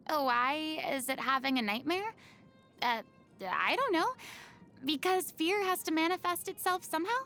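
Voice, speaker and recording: A young stage star from a video game, vain and theatrical. Her high voice takes its time over every phrase, with pompous, haughty flourishes. Music plays behind her. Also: fairly bright tone, full character voice. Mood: flustered. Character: theatrical, vain, dramatic young female celebrity performer, secretly lonely. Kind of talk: video game character voice lines.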